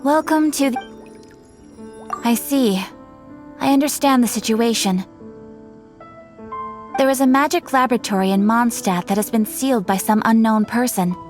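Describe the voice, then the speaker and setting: Woman as a composed, fairly high-voiced receptionist. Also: soft background music, lightly acted. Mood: composed, formal. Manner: formal, measured, businesslike. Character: polite, composed female guild receptionist. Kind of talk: video game voice acting.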